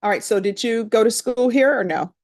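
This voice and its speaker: A woman's voice: on the high side, brisk, dry recording, studio quality. A middle-aged woman from Louisiana with a Louisiana accent, a judge speaking to a jury panel in a courtroom in a plain, conversational storytelling manner.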